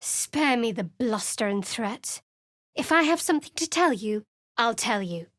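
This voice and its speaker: A guarded, sardonic young cleric with a secret in a video game, her voice a touch higher than most women's. She delivers every line dry and cutting, and the studio sound is close and completely dead. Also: lively intonation, measured pace, fairly bright tone, no echo. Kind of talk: video game character dialogue. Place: studio voice booth.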